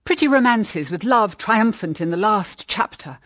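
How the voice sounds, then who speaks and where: Casting: middle-aged British mother, period radio drama. Grave, practical, formal, expressive mid-pitched voice. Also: no room sound, studio quality. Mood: gentle, grave. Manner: measured, earnest, formal phrasing. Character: grave, pragmatic widowed mother guarding her daughters.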